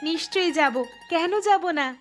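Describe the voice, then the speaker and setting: A woman's voice: extremely high pitch, normal speed. A woman playing a friendly, chatty village wife in a cartoon. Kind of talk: Bengali cartoon dialogue. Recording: music behind the voice.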